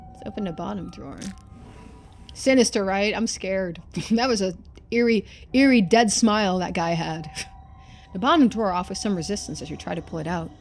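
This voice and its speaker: Woman, game streamer, expressive storytelling narration, lively mid-range voice, faint background music. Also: mid-range voice. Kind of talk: expressive scripted narration of a game, with casual asides.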